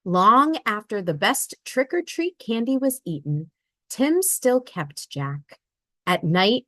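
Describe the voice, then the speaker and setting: A woman reading a children's picture book aloud in an expressive storybook manner. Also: medium pitch, no echo. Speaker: read-aloud narrator.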